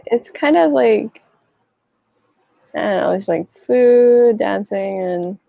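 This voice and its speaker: A woman's voice: mid-range voice, animated, slow, creaky, mellow, no room sound. A young woman from Hawaii answering interview questions in a loose, rambling way, full of fillers and restarts. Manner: rambling, many likes and restarts. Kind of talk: casual interview.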